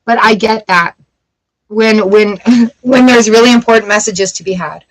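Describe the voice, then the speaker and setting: A woman's voice: mid-range voice, moderate pace, rough recording. A woman doing a casual tarot reading, reading card texts aloud and breaking off into playful asides. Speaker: tarot reader.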